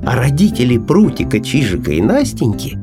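A man narrates a children's story in an animated, scripted audiobook style. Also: medium pitch, normal speed, gravelly, music in the background.

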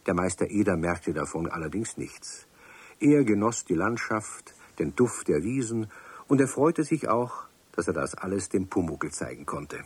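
A man's voice: sits low, lively intonation, moderate pace, breathy edge, no echo. A man narrating a children's radio play, speaking directly to young listeners. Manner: warm storytelling, addresses young listeners. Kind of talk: scripted storybook narration.

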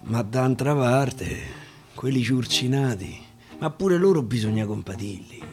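A man with a Roman accent reciting a dialect monologue theatrically, swinging between hushed murmurs and loud declaiming. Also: medium pitch, measured pace. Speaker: actor reciting a dialect narrative poem. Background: acoustic guitar music.

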